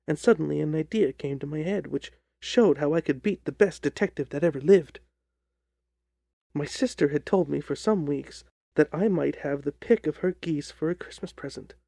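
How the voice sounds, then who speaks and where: A man narrating a story as an audiobook reader, in a measured, deliberate, dramatic style. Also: on the high side, gravelly, dark tone, no room sound.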